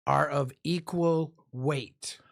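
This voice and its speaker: A man's voice: a little high, steady tone, slow pace, creaky. A man who works as a defense lawyer, explaining the law like a lecturer in profane street slang. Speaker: guest criminal defense lawyer.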